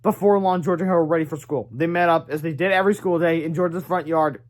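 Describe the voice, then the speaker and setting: A man's voice: a little high, fast. A man narrating a children's storybook aloud as its reader.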